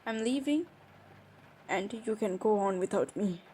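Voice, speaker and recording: A woman playing a hurt, defensive young woman in an emotional romantic roleplay, speaking haltingly with pauses. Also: mid-range voice, expressive, mellow, slightly rough. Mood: hurt, defiant. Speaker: audio roleplay performer. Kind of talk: improvised romantic roleplay drama. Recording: noisy background ambience.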